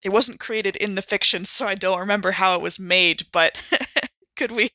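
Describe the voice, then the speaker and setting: A woman's voice: mid-range voice, normal speed, sharp tone, dry recording, clean studio sound. A woman improvising in character as a player in a tabletop roleplay podcast, wry and wordy with hesitant turns. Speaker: player in an actual-play audio drama podcast.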